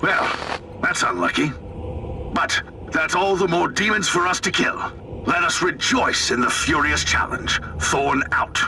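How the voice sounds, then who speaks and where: An actor playing a zealous, battle-hungry military commander in a video game, bombastic and brusque. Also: male voice, on the high side, animated, measured pace, background noise. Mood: grimly jubilant. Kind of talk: dramatic video game voice acting.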